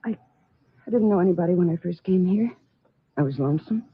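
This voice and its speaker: An actress playing a frightened young nightclub woman with a halting, anxious delivery in a 1940s radio drama. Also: fairly low pitch, slightly rough. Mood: bitter, troubled. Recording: muffled, dull vintage radio recording.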